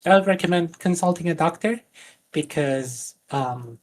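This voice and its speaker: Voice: medium pitch, a little slow, a little mellow. A voice coach who grew up speaking Spanish teaches a class over a live stream. The delivery is earnest and explanatory, full of small fillers and restarts. A faint background hiss sits under the mid-range voice.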